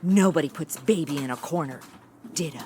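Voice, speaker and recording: A woman's voice: low voice, a little fast, close to an everyday voice. An actress playing a ditzy, flippant modern young woman, slangy and gushing, in a comedy audio drama. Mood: breezy.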